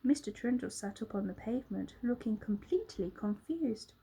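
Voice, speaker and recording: A woman narrating a children's storybook in a dramatic, expressive way. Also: a little high, moderate pace, husky, poor audio. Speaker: storybook reader, teacher.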